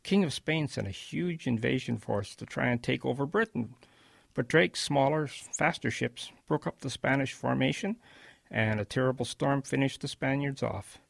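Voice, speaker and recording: Creaky, husky old grandfather. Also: mid-range voice, normal speed, no echo. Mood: animated reminiscing. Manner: gentle, animated storytelling. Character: kindly old grandfather reminiscing about wartime. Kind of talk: radio drama acting.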